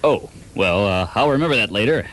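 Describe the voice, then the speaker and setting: A man's voice: on the low side, expressive, a little fast, a bit bright. A man playing a dim, cocky, boastful detective in an amateur comedy radio drama. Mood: earnest, dim. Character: dim, cocky hard-boiled private detective with boastful airs.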